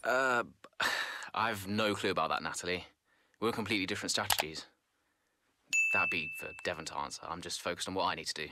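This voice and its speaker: Deep-voiced, guarded racing driver. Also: male voice, pushed and bright, slight background noise. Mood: guarded, diplomatic. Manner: measured, hesitant, media-trained. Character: guarded, diplomatic male racing driver in interview. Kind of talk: naturalistic game cutscene acting.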